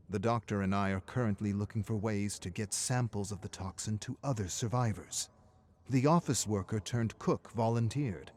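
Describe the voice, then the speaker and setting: Male actor as weary survivor scientist, lowish pitch, grave, measured delivery, hushed phrase endings, dramatic game audio log. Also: close to an everyday voice. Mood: cautiously relieved. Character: weary male survivor scientist recording logs of a monster invasion.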